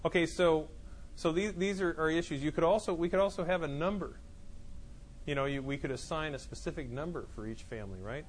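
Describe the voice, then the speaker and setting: A male instructor with a slightly high voice lectures his class. He explains in loose, looping sentences, often restarting mid-thought. His talk is picked up in a classroom with faint noise behind it. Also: fairly quick. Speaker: college instructor. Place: classroom with students. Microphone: clip-on mic that keeps falling off.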